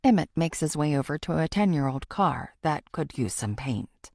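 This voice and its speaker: A woman's voice: low voice, animated, moderate pace, darkish tone, dry recording, studio quality. A woman reading a first-person thriller audiobook as a scripted narration.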